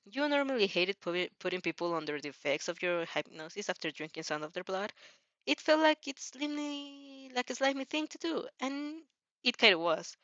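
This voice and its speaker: A woman narrates fan fiction in a medium-pitched voice. Her reading is dramatic but informal, with repeated and rephrased phrases. The sound is dry and close. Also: expressive, normal speed, fairly bright tone, no room sound, lo-fi sound. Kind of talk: dramatic story narration with stumbles.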